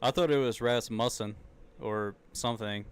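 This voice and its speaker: A man's voice: mid-range voice, moderate pace, clean tone. Southern man chatting over a race. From American South. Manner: chatty, loose, laughs often, says dude and like. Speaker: live stream race commentator. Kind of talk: casual live reaction commentary.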